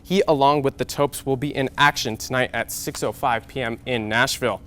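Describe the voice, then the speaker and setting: A young American man in his twenties anchoring a scripted TV sportscast with game highlights. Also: fairly even intonation, a little fast, fairly bright tone. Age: 20s.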